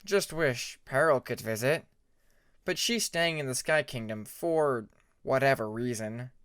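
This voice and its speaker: A man's voice: medium pitch, lively intonation, a little slow, a bit bright, clear, resonant tone, no echo, clean studio sound. A man voicing a friendly young male dragon prince in a storybook reading, casual and amiable. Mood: unsure.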